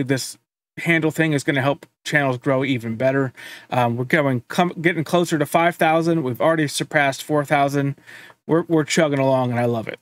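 Casting: man, 30s, easygoing reaction-channel host, mid-pitched, dry close sound. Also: no echo. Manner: relaxed, chatty, many um fillers. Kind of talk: casual music reaction commentary. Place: home studio room.

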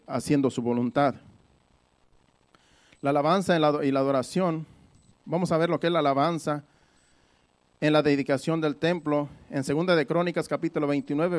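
A man's voice: medium pitch, a little mellow. A man preaching in a conversational Bible-teaching style, explaining things by repeating and rephrasing his points.

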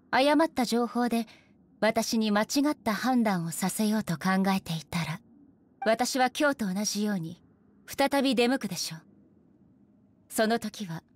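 An actress playing a stern, dignified female ruler in an anime game, speaking formally and with measured authority. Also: medium pitch, close to an everyday voice. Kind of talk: Japanese anime game voice acting.

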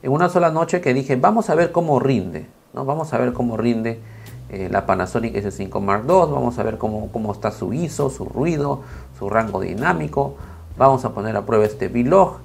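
A man in his thirties, slightly hoarse, talking casually on a lapel mic in a small home studio, with many fillers and restarts. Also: medium pitch, expressive, moderate pace, slight background noise. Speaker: video creator reviewing camera footage. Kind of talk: casual talk to camera, then screen walkthrough.

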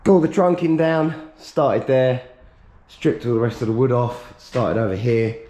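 Man, thirties, hoarse, chatty and upbeat, head-mounted camera in a big workshop. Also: mid-range voice, measured pace, warm tone. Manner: chatty, upbeat, matter-of-fact while working. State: hoarse, losing his voice. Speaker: workshop owner vlogging. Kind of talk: casual chat to camera. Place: large workshop being stripped out, indoors. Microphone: head-mounted action camera.

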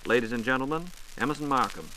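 A man's voice: mid-range voice, expressive, quick pace, bright, forceful tone. An American man hosting a scripted 1940s radio science programme and putting questions to a reporter. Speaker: radio host. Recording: faintly noisy 1940s radio transcription disc.

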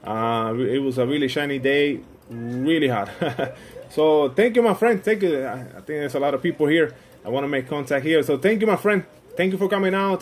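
A man's voice: fairly high pitch, a little mellow. A friendly man working amateur radio contacts, repeating call signs and phrases in a casual way. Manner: friendly, repeats himself, often says "my friend".

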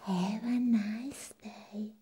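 Woman acting a slow whispering vampire nurse. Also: medium pitch, fairly even intonation, dark tone, exaggerated voice. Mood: sweetly polite. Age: young adult. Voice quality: breathy whisper.

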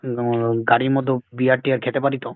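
A man making a casual prank phone call, persistent and deadpan. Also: medium pitch, fast, dry recording. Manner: deadpan, persistent, evasive with absurd questions. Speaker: prank caller, comedy show host.

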